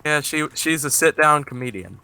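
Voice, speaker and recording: A man with a Southern US accent teasing his friends in relaxed gaming banter. His voice is very bright and cleanly resonant. He trips over his words and restarts, then lands a dry jab. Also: on the high side, normal speed, smooth, poor audio. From American South. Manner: teasing, mock-stern, stumbling restarts. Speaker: gamer on a multiplayer server. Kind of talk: casual joking banter.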